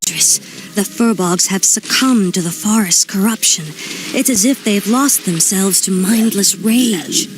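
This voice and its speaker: Fantasy game scout female actor: loyal, earnest elf woman, medium pitch, music underneath. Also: a little slow, close to an everyday voice. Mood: concerned. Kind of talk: video game cutscene acting.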